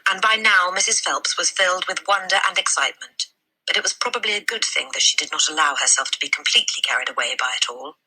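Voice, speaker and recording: A woman reading a children's story aloud as a teacher, with deliberate, expressive narration. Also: on the low side, slightly rough, poor audio.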